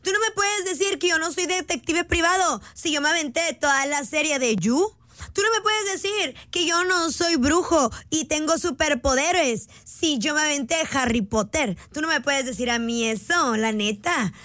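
A woman's voice: sits high, moderate pace, sharp tone, no room sound. A woman with a Mexican accent hosting a casual live chat in a playful, slangy, mock-indignant way. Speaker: live stream host.

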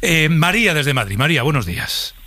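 A man's voice: fairly high pitch, very animated, brisk, pushed and bright, no room sound, clean studio sound. A man hosting a radio show, chatting casually and taking calls from listeners. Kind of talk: lively chat with phone-in callers.

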